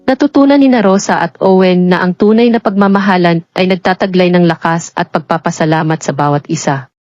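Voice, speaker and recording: A woman narrating a scripted romance story in a measured, sentimental storybook delivery. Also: medium pitch, a little mellow. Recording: dull lo-fi audio with background music. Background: music.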